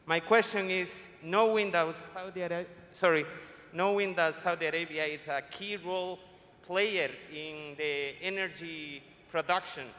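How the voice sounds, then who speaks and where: Bright-voiced man from Ecuador. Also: on the high side, steady tone, measured pace. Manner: grateful, slightly ungrammatical. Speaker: doctoral student asking a question. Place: conference hall. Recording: long hall reverb, faint ambience.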